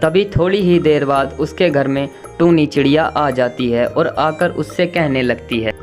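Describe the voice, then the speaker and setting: A man's voice: on the high side, normal speed, faint music behind. A man narrating a scripted children's story.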